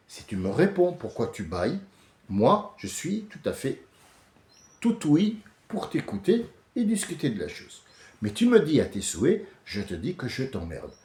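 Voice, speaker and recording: A French man hosting a casual monologue, animated and often restarting, rising to heated outbursts. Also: mid-range voice. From France. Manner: many restarts and hesitations. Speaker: host. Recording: echoey room.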